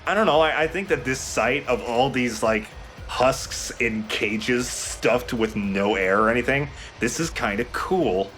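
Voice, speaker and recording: A man's voice: mid-range voice, unhurried, a bit bright. A man giving casual, explanatory game commentary as a let's play host. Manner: explanatory, conversational. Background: game music.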